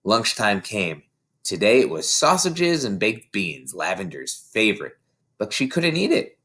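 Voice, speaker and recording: Man reading a children's story, very lively pitch. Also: a little slow. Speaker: story reader. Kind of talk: children's audiobook read aloud.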